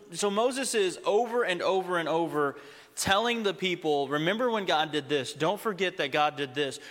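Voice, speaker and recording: A young adult man from Texas giving a casual, humorous sermon to a congregation, slowing down to read scripture. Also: fairly high pitch, a bit bright. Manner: conversational, humorous, slows down when reading scripture. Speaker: preacher. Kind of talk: sermon with storytelling. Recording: long hall reverb.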